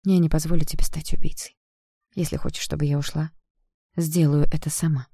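A man voicing a heartbroken, self-sacrificing young woman in an audiobook, imploring in halting phrases. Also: on the high side, level tone, gravelly, dark tone, breathy edge, no echo, clean studio sound. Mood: tender, sorrowful.

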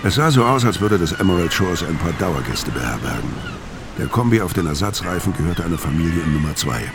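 A man's voice: low-pitched, big pitch swings, quick pace, music in the background. An actor in a radio crime drama playing a laconic, brooding, world-weary middle-aged tough guy. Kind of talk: first-person narration in radio crime drama.

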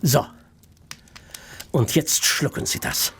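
An actor playing a coldly menacing killer in a radio drama, mock-polite, soothing and sinister. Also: male voice, a bit bright, a little hiss or hum. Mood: sinister, coaxing. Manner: very slow, coaxing, sinister.

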